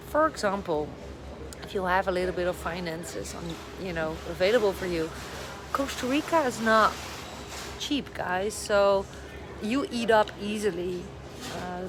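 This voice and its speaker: A middle-aged Dutch woman talks into her phone in a casual, slightly scattered way, a little short on sleep. Her English carries a clear Dutch accent. Busy airport noise runs steadily behind her voice. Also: lowish voice. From Netherlands. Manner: chatty, a little scattered, greets viewers. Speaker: vlogger giving advice. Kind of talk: casual live stream chat. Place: airport departure area. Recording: noisy airport live stream. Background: airport ambience.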